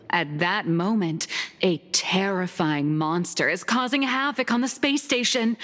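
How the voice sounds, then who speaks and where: A woman's voice: a little high, fairly bright tone, full character voice. A woman playing a theatrical young comic artist in an anime game, lecturing like a self-assured, mock-scholarly teacher. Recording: long reverb, music behind.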